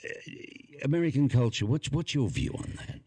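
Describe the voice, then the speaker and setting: A man's voice: medium pitch, sing-song, a little fast, dark tone, slightly rough, dry recording, studio quality. A man hosting a podcast interview, rambling in casual chat and putting questions to his guests. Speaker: podcast host.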